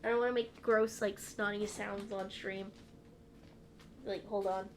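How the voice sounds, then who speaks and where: A woman's voice: mid-range voice, steady tone, unhurried, slight background noise. A young woman with a stuffy nose, chatting casually and rambling on a live stream from home. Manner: rambling, trailing off, frequent laughs.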